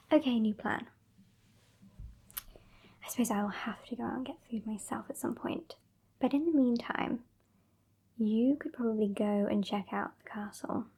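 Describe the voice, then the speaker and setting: A sassy British vampire lady, played by a woman for an ASMR roleplay, speaks in a soft, breathy half-whisper. The voice sits fairly high yet stays mellow, with some creak in it. She is haughty and bossy, teasing her listener with dry condescension. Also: a little slow, long pauses, heavily acted. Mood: haughty, scheming. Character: sassy, bossy female vampire, over a century old.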